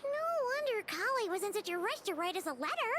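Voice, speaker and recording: A woman voicing a tiny, chatty, excitable fairy companion with a childlike voice in an anime-style video game. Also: fast talker, background noise. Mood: puzzled, uneasy. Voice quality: childlike, squeaky. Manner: exclamatory, bubbly.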